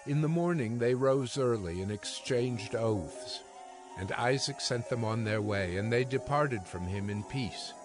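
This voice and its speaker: A man's voice: medium pitch, measured pace, over music. A man narrating dramatized scripture as a scripted storytelling reading.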